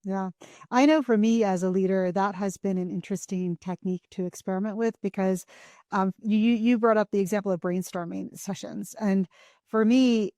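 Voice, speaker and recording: A woman's voice: mid-range voice, warm tone, no echo, studio quality. A woman hosting a podcast who speaks reflectively and thoughtfully from her own experience. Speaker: podcast host. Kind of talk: conversational interview.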